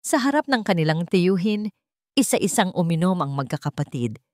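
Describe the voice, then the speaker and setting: A woman's voice: lowish voice, sing-song, moderate pace, a little mellow, no echo, clean studio sound. A woman storyteller reading a dramatic scripted narration in a suspenseful, emotive manner.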